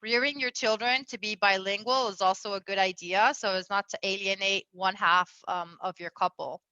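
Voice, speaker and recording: Bright chatty woman coaching on relationships. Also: medium pitch, steady tone, moderate pace, dry recording, muffled recording. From Venezuela. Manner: chatty, lots of fillers, laughs often. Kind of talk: casual advice talk.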